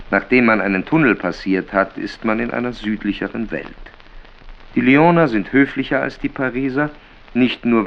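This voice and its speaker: A man reading literary prose in a radio play, in a measured, descriptive way. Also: on the low side, highs cut off. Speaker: reader of reportage and novel prose. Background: music.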